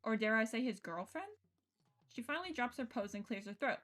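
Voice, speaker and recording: Female game streamer, slightly high lively voice, reads narration aloud with casual commentary. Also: fairly quick. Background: game music.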